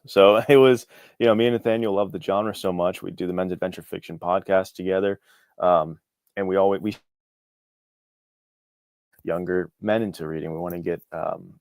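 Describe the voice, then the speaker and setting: A man's voice: deep voice, darkish tone, dry recording, studio quality. A man talking casually and informally as an interview guest, with an enthusiastic manner. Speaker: guest, indie author.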